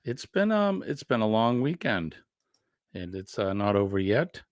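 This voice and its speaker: A man's voice: lowish voice, sing-song, a little slow, dry recording. A man hosting a casual morning livestream, greeting viewers in an easygoing, joking way with many fillers. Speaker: livestream host.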